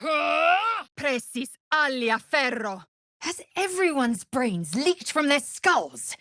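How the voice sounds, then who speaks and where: An actress voicing a fierce, proud githyanki warrior woman in a video game, husky and breathy, with a clipped, imperious, disdainful delivery. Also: medium pitch, a bit bright, no room sound, studio quality. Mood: battle cries, then haughty, indignant. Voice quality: husky, raspy, breathy edge. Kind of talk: video game character voice acting.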